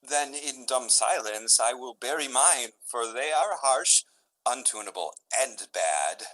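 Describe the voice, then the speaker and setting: A woman playing an urgent yet hesitant young gentleman in a Shakespearean radio drama, with deliberate classical delivery. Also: very deep voice, animated, full character voice, rough recording. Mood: grave.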